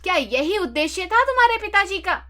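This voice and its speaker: A woman's voice: very high-pitched, sing-song, fairly quick, pushed and bright, pitched above the performer's own voice, exaggerated voice. A woman voicing an exasperated young wife in a cartoon story, lecturing emphatically. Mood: indignant, lecturing.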